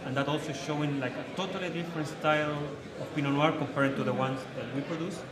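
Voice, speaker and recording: A man's voice: on the high side, fairly even intonation, over a noisy crowd. Chilean-accented man, distant in a hall. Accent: Chilean Spanish. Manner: halting, searches for words. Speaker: winemaker panelist. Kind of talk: panel discussion. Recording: distant mic in a reverberant hall with audience.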